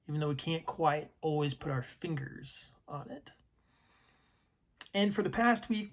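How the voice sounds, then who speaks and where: A man in his early forties giving a slow, reflective personal talk, sometimes dropping to a near whisper. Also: fairly high pitch. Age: 40s. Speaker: vlogger.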